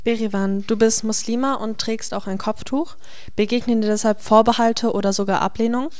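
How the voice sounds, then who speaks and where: A woman's voice: on the high side, steady tone, brisk, darkish tone. Polite young woman asking interview questions. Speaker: youth interviewer. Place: pharmacy school lab indoors. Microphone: handheld mic.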